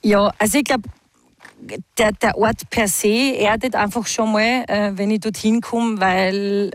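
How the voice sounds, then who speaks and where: A woman's voice: medium pitch, steady tone, a little slow, dry recording. A woman, a singer chatting in an interview, laughing often and talking with fillers and restarts. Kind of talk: casual interview chat.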